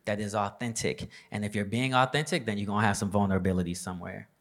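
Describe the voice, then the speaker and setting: Low-voiced man, reflective panelist. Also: steady tone, fast, a bit bright. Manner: reflective, preacher-like emphasis, conversational. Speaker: panelist, business leader. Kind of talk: live panel discussion.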